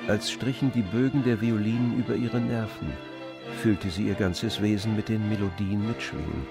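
A male narrator reads literary prose for a radio drama. His voice is fairly deep, and music plays clearly behind it. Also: fairly even intonation, moderate pace.

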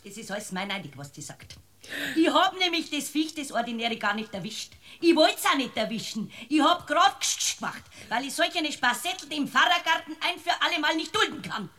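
A very bright, high female voice as a spiteful Bavarian village woman in a radio comedy. She is self-righteous and indignant, always accusing and gossiping. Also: very animated, normal speed, full character voice. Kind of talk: comic radio drama acting.

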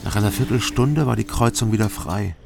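An actor playing a sober, wary East German man, a former soldier, in a radio drama, with a gravelly, understated delivery. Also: fairly low pitch, brisk, noisy background. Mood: reserved. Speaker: narrator and lead character. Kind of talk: German radio drama, first-person narration and dialogue.

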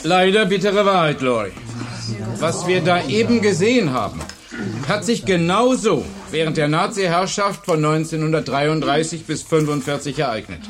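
An actor playing an earnest, patient history teacher in a radio drama, explaining emphatically to a class. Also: male voice, on the high side, animated, normal speed, over music, close to an everyday voice. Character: male high school history teacher, earnest, patient, engaged. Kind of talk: German radio drama acting.

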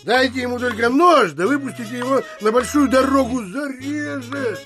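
A man's voice: very high voice, moderate pace, fairly bright tone, faint music behind. An actor playing a burly, misanthropic landowner in a literary audio drama, blunt and scornful in his judgements.

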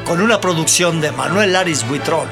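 A radio announcer reads scripted promos with a Mexican accent. The voice is medium in pitch, with music clearly playing behind it. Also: moderate pace.

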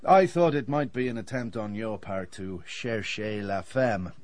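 A man's voice: fairly low pitch, measured pace, darkish tone, dry recording, lightly acted. Man playing a wry, roguish dentist, dry studio sound. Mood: wry, teasing. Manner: sardonic, flippant, talkative. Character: wry, roguish, hard-drinking male dentist. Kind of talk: radio drama acting.

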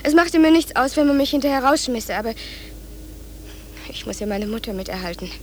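An actress playing a harried young office employee, flustered and pleading, in a German radio play. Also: female voice, on the high side, expressive, fairly quick, close to an everyday voice. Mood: urgent pleading. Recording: faint hiss.

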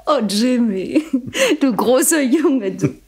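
An actress playing a flirtatious, pleasure-loving unfaithful wife in a radio crime drama, with a playful manner. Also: female voice, on the high side, expressive, slow pace, darkish tone, breathy edge, heavily acted. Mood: coquettish. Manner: coquettish, playful.

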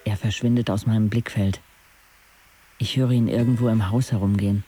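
A man's voice: fairly low pitch, fairly even intonation, normal speed, dark tone, faint music behind, natural voice. An actor playing a sardonic paralysed teenage boy, narrating his life intimately in a dry, laconic way in a radio drama. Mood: observant, quiet. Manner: dry, laconic, clipped phrases.

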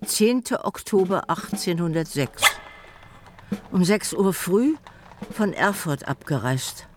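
A man's voice: high voice, animated, slow pace. An actor playing an uneasy male traveller reading from his wartime diary in a radio play. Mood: anxious. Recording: clear background noise.

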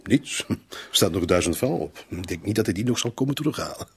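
An actor playing a worried, officious bank manager in a radio drama, explaining in a businesslike, defensive way. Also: male voice, lowish voice, animated, a little fast, husky, exaggerated voice. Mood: defensive, formal.

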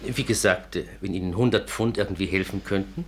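An actor playing a polite, earnest, slightly hesitant gentleman client in a 1950s radio drama. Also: sits low, a little fast, a little hiss or hum. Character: courteous, earnest gentleman client offering money.

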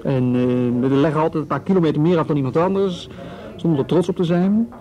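An actor playing a young male police officer in a radio drama, calm and hesitant with a mild irony. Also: fairly high pitch, fast, natural voice, rough recording.

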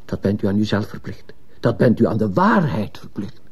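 Mellow, husky earnest male missionary. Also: lowish voice, big pitch swings, full character voice. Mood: solemn urging. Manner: solemn, insistent, deliberate. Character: earnest, morally insistent male missionary priest. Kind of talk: earnest Dutch-language radio play acting. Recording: muffled, dull old radio play recording.

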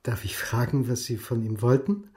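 A man's voice: mid-range voice, steady tone, moderate pace, a little mellow, close to an everyday voice. A man playing a reserved, dogged academic turned amateur sleuth in a radio crime drama, speaking politely, formally and matter-of-factly. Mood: polite, probing.

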